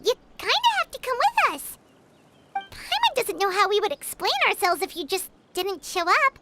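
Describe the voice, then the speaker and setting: A woman's voice: extremely high pitch, big pitch swings, moderate pace, very noisy. A woman voicing a tiny, chirpy female companion creature in a video game, who refers to herself in the third person. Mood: pleading. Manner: third-person self-talk, bubbly. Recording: game audio with loud music behind.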